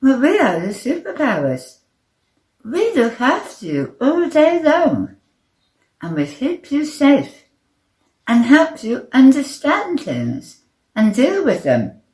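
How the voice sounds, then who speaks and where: A woman's voice: high voice, wildly swooping pitch, slow pace, darkish tone, reverberant, voice pushed up, full character voice. A woman voicing a tiny, nervous, sheepish little male character in a children's story, timid and earnest. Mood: earnest explaining.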